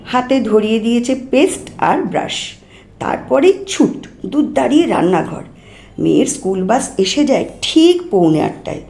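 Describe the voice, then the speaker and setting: A woman storyteller reads a short story. Her voice sits a little high, and she narrates expressively, as for an audiobook. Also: animated, normal speed, vocal fry, warm tone, reverberant.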